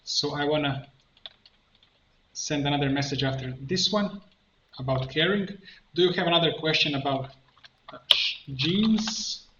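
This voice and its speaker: Slow, halting man teaching software, roomy sound. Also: fairly high pitch. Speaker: tutorial instructor, coach. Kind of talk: step-by-step software tutorial narration. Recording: muffled, roomy, faint noise, keyboard clicks. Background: computer keyboard typing and clicking.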